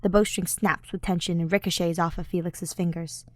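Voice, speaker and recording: A woman's voice: fairly low pitch, steady tone, brisk, no room sound. Woman narrating fiction in a dry space. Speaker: audiobook narrator.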